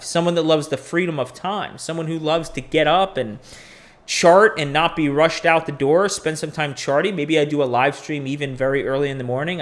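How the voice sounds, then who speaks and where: A man in his forties hosting a crypto live stream, talking casually and candidly, rambling with profane asides. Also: a little high. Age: 40s. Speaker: crypto podcast host.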